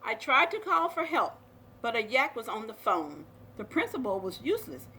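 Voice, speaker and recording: Bright, animated woman reading a storybook. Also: a little high, moderate pace, breathy edge, poor audio. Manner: animated, dramatic storytelling delivery. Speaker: storyteller reading aloud to young children. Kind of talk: expressive children's picture book read-aloud.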